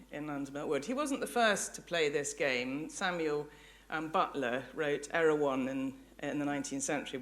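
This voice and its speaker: A woman's voice: sits low, a little hiss or hum. A woman with an English accent giving a public academic lecture, thinking aloud in reflective, sometimes hesitant phrasing with self-corrections. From England. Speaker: lecturer, professor. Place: lecture hall before an audience.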